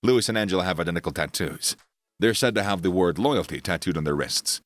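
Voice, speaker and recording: A man reading a scripted sports news narration in a steady voice, reading the quotes in the same voice as the rest. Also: low voice, fast talker, no echo. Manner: steady read-aloud delivery, reads quotes in the same voice. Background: faint background music.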